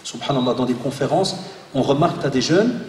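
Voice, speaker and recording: A man in his forties, born in Belgium, giving a lively religious talk to an audience and joking with the crowd. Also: mid-range voice, fairly quick, slight background noise. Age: 40s. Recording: echoey hall, voice from far off.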